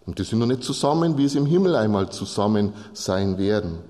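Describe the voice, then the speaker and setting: A man's voice: lowish voice, lively intonation, fairly quick, dark tone, slight background noise. A man preaching, explaining scripture in short, repeated phrases and addressing listeners directly. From Bavaria, Germany. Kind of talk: sermon, teaching from Bible texts to a congregation. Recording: long hall reverb.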